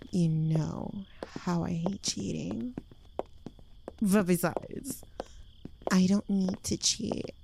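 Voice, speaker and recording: Slow, smug witch girlfriend, acted by a woman. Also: lowish voice, creaky, dark tone. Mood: smug, teasing. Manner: teasing, smug, unhurried, drifting into whispers. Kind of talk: ASMR roleplay, soft intimate scripted acting. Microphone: close microphone.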